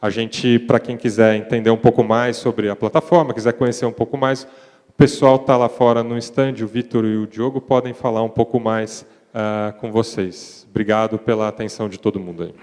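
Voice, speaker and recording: A man with a Brazilian accent giving a conference presentation in a relaxed, conversational way, with frequent fillers. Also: lowish voice, normal speed. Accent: Brazilian Portuguese. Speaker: conference panel speaker. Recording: reverberant conference hall.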